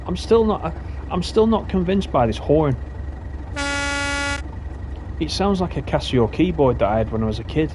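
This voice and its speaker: A man's voice: on the high side, expressive, normal speed, long pauses. A man with a Lancashire accent, giving casual running commentary as he live-streams a game, chatting in rambling asides that he often corrects midway. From Lancashire, England. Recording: train engine noise behind voice. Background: simulated train engine and rail sounds.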